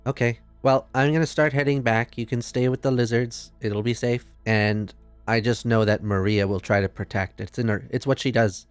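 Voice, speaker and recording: A man's voice: mid-range voice, fairly even intonation, normal speed, smooth, no room sound. A man playing his character in a tabletop game, talking hesitantly and slipping in and out of character. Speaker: player. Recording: faint background music.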